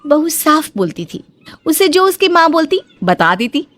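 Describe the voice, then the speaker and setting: A woman's voice: sits high, very animated, a little slow, a bit bright, dry recording. A woman narrator reading a scripted story.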